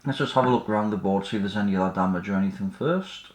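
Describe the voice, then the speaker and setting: A man's voice: on the low side, racing, a little mellow. A man giving casual hands-on repair commentary, tentatively thinking aloud as he works. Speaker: host of an electronics repair channel. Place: indoors at a repair workbench. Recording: roomy, echoey indoor sound.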